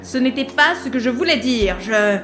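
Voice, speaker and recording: Stern female boss, acted, highish voice, formal, reproachful, exasperated, roomy echo, audio drama. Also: lively intonation, moderate pace, over music, close to an everyday voice.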